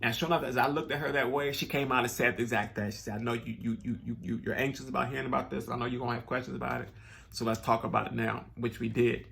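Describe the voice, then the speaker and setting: A man's voice: medium pitch, flat delivery, fast talker, creaky, fairly bright tone. A man talking casually to his viewers, earnest and rambling with many restarts, sounding a bit nasal and stuffed up. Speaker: vlogger. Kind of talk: casual personal talk to camera.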